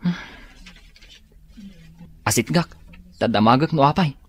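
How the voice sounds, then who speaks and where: A man's voice: mid-range voice, expressive, slow, breathy edge. An actor playing a friendly, naive young male student in an Ilocano radio drama. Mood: bewildered.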